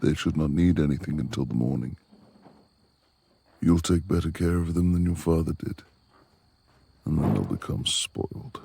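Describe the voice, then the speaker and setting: A man performs a reclusive, beastly lord of the manor for a romantic audio roleplay. His voice is extremely low and husky, recorded close and dry. He speaks in formal, old-fashioned phrases, imperious yet with tenderness underneath. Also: animated, moderate pace, pauses often, warm tone, dry recording, full character voice. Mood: gruffly considerate. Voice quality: gravelly.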